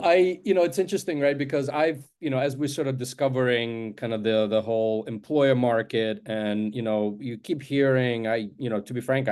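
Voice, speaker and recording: A man's voice: medium pitch, a little mellow. A man whose first language is Russian, co-hosting a podcast and asking long, rambling questions. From Ukraine. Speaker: podcast co-host. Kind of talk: podcast interview.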